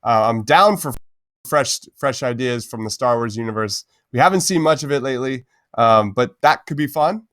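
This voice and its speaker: A man's voice: mid-range voice, normal speed, a bit bright, dry recording, clean studio sound. A man hosting a podcast, giving opinions in informal, emphatic fan chat. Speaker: podcast host.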